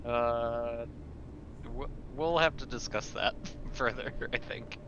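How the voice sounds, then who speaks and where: A man's voice: mid-range voice, steady tone, slow pace, sharp tone, soft background music. A man discussing options casually as a player in a tabletop game. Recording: dull, distant, noisy room recording.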